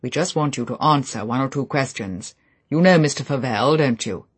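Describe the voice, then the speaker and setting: An authoritative older colonel who questions a frightened witness patiently, as a male reader puts him on. His slightly husky voice stays measured, gentle and firm. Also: medium pitch, lightly acted. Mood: coaxing. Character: older military man, calm, authoritative questioner.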